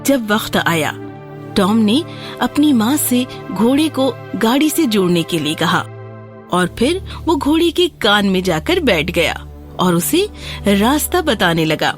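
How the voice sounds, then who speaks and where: A woman's voice: mid-range voice, very animated. A woman narrating a scripted fairy tale for children as a storyteller. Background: background music.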